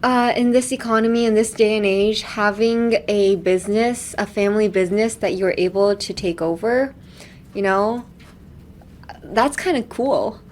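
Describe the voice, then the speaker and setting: A woman's voice: mid-range voice, moderate pace, a little mellow. A woman hosting a casual language-lesson podcast, talking in a chatty, rambling way with tangents. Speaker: podcast host teaching phrases.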